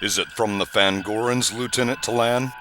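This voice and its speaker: An actor playing a dutiful, inquisitive bridge officer in a science fiction audio drama. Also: male voice, on the low side, normal speed, noisy background, lightly acted. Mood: curious, focused.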